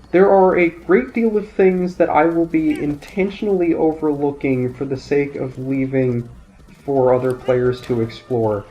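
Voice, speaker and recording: A man calmly commentating his gameplay, thinking aloud, his voice nasal and raspy from a sinus infection. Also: a little high, a little hiss or hum. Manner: calm, explanatory, thinking aloud. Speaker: let's play commentator. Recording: dull lo-fi audio, faint game music.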